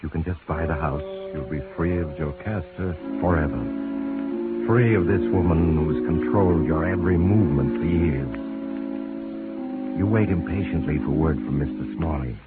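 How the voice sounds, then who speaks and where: Male suspense narrator, extremely low, insinuating, vintage radio band with music underneath. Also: phone-quality sound. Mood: sinister. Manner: sinister, knowing, deliberate second-person address.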